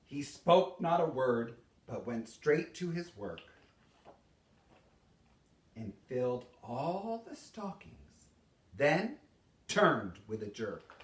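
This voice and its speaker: A man giving a theatrical poetry recitation as a storyteller, with dramatic, expressive delivery and deliberate pauses. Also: mid-range voice. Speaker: storyteller reading a Christmas poem. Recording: roomy echo, distant microphone.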